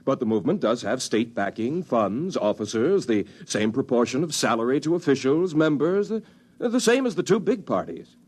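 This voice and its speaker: An actor playing a soothing, condescending office supervisor in a 1950s radio drama. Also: male voice, mid-range voice, big pitch swings, moderate pace, darkish tone. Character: smooth patronizing male office supervisor.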